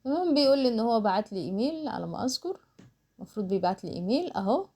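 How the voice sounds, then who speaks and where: A woman's voice: on the high side, very animated, very fast, warm tone. A woman with an Egyptian Arabic accent giving a casual step-by-step screen tutorial, explaining as she goes and mixing in English terms. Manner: explains while doing, with English terms mixed in. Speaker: online tutorial instructor.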